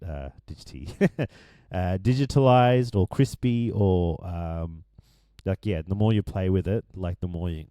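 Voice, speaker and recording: A man with a deep voice that is going hoarse, casually walking through his vocal mix with many ums and restarts. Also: dark tone, dry recording, studio quality. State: losing his voice. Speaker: music producer explaining his mix. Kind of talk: casual screen-share tutorial.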